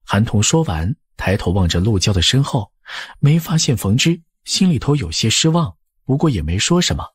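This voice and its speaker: A male audiobook narrator tells a period story from a script. His voice is husky with widely moving intonation, recorded close and dry. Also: medium pitch, sing-song, moderate pace, no room sound.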